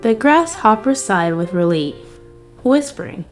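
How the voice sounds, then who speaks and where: A woman's voice: a little high, very animated, measured pace, faint music behind. A woman narrating a scripted fairy tale for children as a storyteller.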